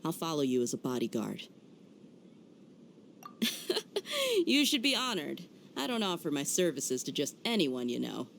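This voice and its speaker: A woman's voice: sits low, very animated, moderate pace, creaky, pauses often, a little hiss or hum, heavily acted. A woman playing a confident young female mercenary in a video game, teasing, bold and self-assured. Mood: playful bargaining. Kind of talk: video game character acting.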